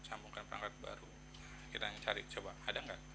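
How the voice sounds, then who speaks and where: A man chats casually to viewers in a product review, halting and thinking aloud in slangy, informal speech. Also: lowish voice, completely flat delivery, background noise.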